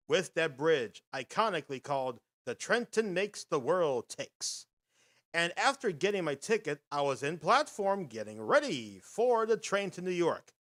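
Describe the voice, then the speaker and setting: A man's voice: fairly high pitch, a bit bright. A man hosting a casual video podcast, narrating footage in an upbeat, rambling announcer patter. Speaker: host.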